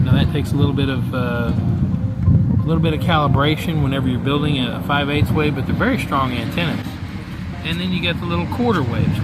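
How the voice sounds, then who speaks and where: A man's voice: on the high side, very noisy. A man talking casually as a radio hobbyist and mentor, explaining in a folksy, wandering way. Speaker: ham radio operator and mentor. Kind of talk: casual explanatory vlog talk. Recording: loud music behind the voice. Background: loud music.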